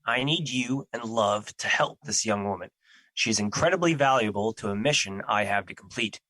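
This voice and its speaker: A man's voice: fairly low pitch, normal speed, bright, forceful tone, dry recording, rough recording. A man playing a stern, earnest embodiment of an emotion in an audio drama, speaking formally and easily irritated. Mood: earnest, insistent. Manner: formal, serious, stiff phrasing.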